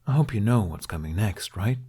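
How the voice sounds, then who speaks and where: A man's voice: on the low side, expressive, brisk, mellow, breathy edge. A man voicing a cheerful male dragon companion in an audiobook, teasing and urging in a smug, eager manner.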